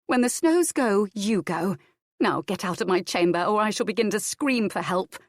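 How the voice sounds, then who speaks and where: A woman voicing a proud young noblewoman who gives cold, sharp commands. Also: a little high, expressive, normal speed, no echo, clean studio sound, pitched above the performer's own voice, close to an everyday voice. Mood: defiant.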